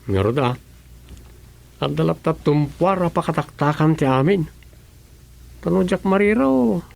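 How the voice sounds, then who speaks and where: A man's voice: fairly high pitch, expressive, a little slow, creaky, darkish tone. A male actor with an Ilocano Filipino accent playing a chatty, nosy local councilor in a comic radio drama. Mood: chatty, bantering.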